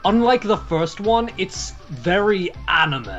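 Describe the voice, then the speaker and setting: A man chatting casually while playing a game with a friend. He rambles, restarts his sentences and often hedges with "I guess." His pitch rises and falls a lot, and faint game music plays behind him. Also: animated, a little slow, highs cut off. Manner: rambling, self-correcting, frequent "I guess". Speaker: let's-play host. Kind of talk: casual co-op gameplay chat.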